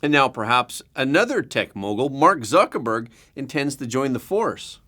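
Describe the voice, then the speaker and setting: A man reading scripted news as an anchor, with a measured, emphatic delivery. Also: medium pitch, sing-song, sharp tone.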